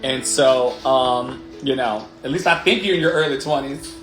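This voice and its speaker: A man's voice: mid-range voice, moderate pace, a bit bright. A man in his late thirties hosting a motivational livestream, talking candidly and emphatically with swearing and repeated affirming phrases. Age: 30s. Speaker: life coach and livestream host. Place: living room. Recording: roomy echo, music behind.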